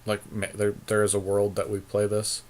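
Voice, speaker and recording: A man with a fairly low voice talks through his choices in a card game. He sounds like a podcast co-host in casual conversation, reasoning out loud and swearing offhandedly. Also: monotone, moderate pace. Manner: thinks aloud, casually profane. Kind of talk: casual chat while drafting a card game.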